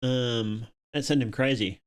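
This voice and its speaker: A man's voice: mid-range voice, steady tone, measured pace, clear, resonant tone. A man hosting a casual livestream, chatting to viewers and thinking aloud in a rambling, opinionated way. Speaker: livestream host.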